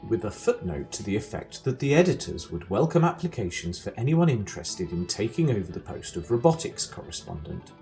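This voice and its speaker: An actor playing a dry, wry narrator of a galactic guidebook, reading scripted comedy. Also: male voice, fairly low pitch, darkish tone. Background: music.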